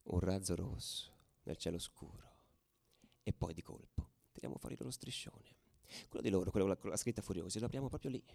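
Actor as gravelly, intense male football ultra. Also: sits low, heavily acted. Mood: tense, vivid. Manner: intense, deliberate, repeats phrases, swings between hushed and shouted. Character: fervent male football ultra recounting riots and away trips. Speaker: stage actor in a radio theatre piece. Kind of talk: dramatic first-person theatrical monologue.

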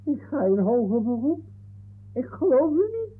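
An actor playing an aggrieved, pedantic football referee who protests indignantly in a 1960s comic radio play. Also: male voice, very high voice, sing-song, measured pace, a little hiss or hum, exaggerated voice. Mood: protesting. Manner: indignant, reads out notes, protesting. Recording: tinny, narrow-band 1960s radio recording.

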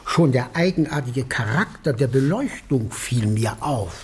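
An actor playing an eccentric, earnest and slightly pompous country clergyman in a German radio drama, hesitant and flowery, with a husky, breathy voice. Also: medium pitch, expressive, unhurried, slight background noise, lightly acted. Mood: awed, mysterious. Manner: hesitant, stammering, flowery wording.

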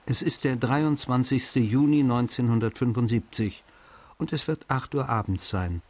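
An actor playing a male writer, reading in a measured, literary way for a radio feature. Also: medium pitch, darkish tone, lightly acted. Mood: solemn, measured. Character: French male writer reading his friendly letters aloud.

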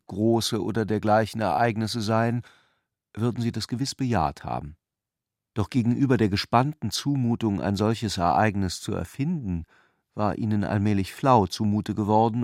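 Low-voiced man narrating, dry studio sound. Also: darkish tone, no echo. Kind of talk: literary narration in a radio play.

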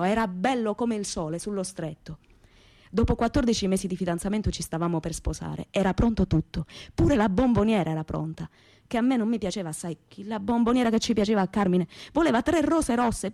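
Radio play monologue: actress as young southern Italian working-class woman, Calabrian accent, proud and earthy, mid-pitched, dry intimate sound. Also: warm tone, no echo, natural voice. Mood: wistful, tender. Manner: earthy, colloquial, repetitive folk storytelling. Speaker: stage actress performing a monologue. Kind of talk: dramatic first-person storytelling in dialect-tinged Italian radio theatre.

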